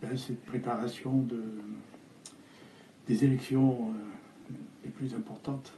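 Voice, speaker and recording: Older man, halting speech, very dark voice. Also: mid-range voice, fairly even intonation, roomy sound, a little hiss or hum, poor audio. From Algeria. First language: Spanish. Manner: hesitant, halting with many fillers and restarts. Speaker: architect guest. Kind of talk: interview.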